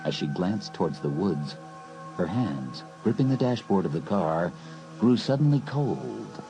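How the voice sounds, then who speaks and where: A man narrating a horror audiobook in a measured, brooding storyteller's manner. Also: deep voice, big pitch swings, over music, full character voice, poor audio. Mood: eerie. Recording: hissy old cassette recording.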